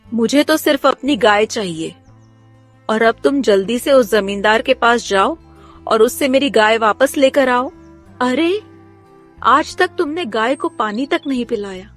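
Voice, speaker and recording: A woman voicing a sharp-witted, scolding village wife in a cartoon story. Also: on the high side. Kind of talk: Hindi cartoon story dialogue.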